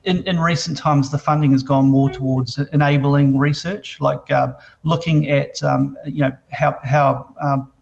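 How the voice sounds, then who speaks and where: Brief: New Zealand exec, monotone, gravelly, hesitant, muffled call audio. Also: male voice, medium pitch. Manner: halting, many fillers and restarts. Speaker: biotech company CEO. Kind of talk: interview answers.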